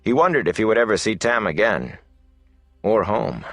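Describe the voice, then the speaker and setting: A man narrating a fantasy novel as an audiobook, in a measured, dramatic storytelling manner. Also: fairly low pitch, lively intonation, dry recording, faint music behind.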